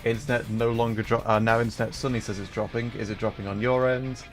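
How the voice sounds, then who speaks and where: A man's voice: fairly low pitch, little pitch movement, quick pace. Casual male streamer over background music. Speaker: livestream host, gamer. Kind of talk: casual livestream chat.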